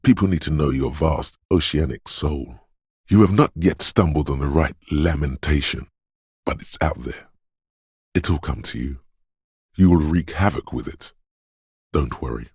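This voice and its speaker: A man voicing a brooding, dreamlike inner voice of intuition in a video game, speaking in a slow, hushed, portentous and theatrical way. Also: expressive, no echo, studio quality, full character voice. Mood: earnest, melancholy. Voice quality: very deep, husky, gravelly whisper-edged rumble. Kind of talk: dramatic video game voice acting. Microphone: close, dry studio microphone.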